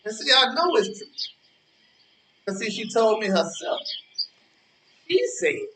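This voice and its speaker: A man's voice: sits high, sing-song, unhurried, pushed and bright, roomy sound, a little hiss or hum, heavily acted. A man playing a smug old man in a stage play, needling his rival with mock-genteel, theatrical taunts. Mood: gloating.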